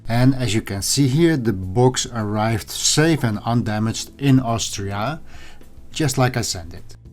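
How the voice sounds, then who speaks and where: A man hosting a hobby video, narrating in a measured, explanatory way and addressing viewers directly. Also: medium pitch, expressive. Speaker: host of an audio hobby channel. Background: background music.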